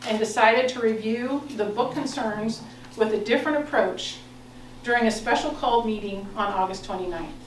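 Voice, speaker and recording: A woman's voice: fairly high pitch, moderate pace. An American woman reading a prepared official statement aloud in a formal style at an indoor meeting. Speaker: school board president. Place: indoor board of education meeting room. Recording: roomy, noisy, distant meeting-room recording.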